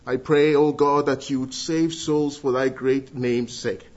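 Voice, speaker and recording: A middle-aged man from Ghana with a Ghanaian accent, giving an earnest church testimony and leading prayer, with pauses and restarts. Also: mid-range voice, steady tone, muffled recording. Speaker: guest speaker giving testimony.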